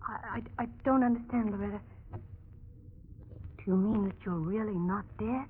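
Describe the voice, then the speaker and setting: A woman's voice: mid-range voice, normal speed, close to an everyday voice. An actress playing a haunted young woman in a 1940s radio thriller, melodramatic, anguished and hesitant in delivery. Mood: bewildered. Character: frightened young woman haunted by a supposed ghost. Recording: muffled, hissy 1940s radio recording.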